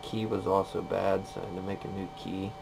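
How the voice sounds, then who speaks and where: A man's voice: sits low, little pitch movement, a little fast. A man giving a casual, plain-spoken hands-on repair walkthrough in short, informal phrases. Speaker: machinist demonstrating a repair. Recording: clear background noise, workshop ambience.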